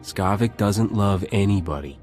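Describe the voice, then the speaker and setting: Actor playing a deep-voiced, smooth smuggler captain. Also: male voice, normal speed, darkish tone, faint music behind, natural voice. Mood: dry, unimpressed. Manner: smooth, flirtatious. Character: suave, flirtatious smuggler captain, adult man. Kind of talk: video game voice acting.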